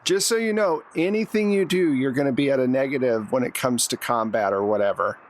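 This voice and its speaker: A man's voice: medium pitch, a little fast, a little hiss or hum. A man acting as game master, narrating scenes to players in a conversational way and asking them for rolls. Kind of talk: narrating a tabletop roleplaying game.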